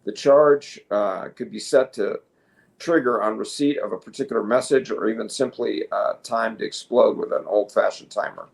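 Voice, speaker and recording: A man co-hosting a news commentary show, speaking casually and haltingly, opinionated, at times reading from notes. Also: fairly low pitch, creaky. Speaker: co-host.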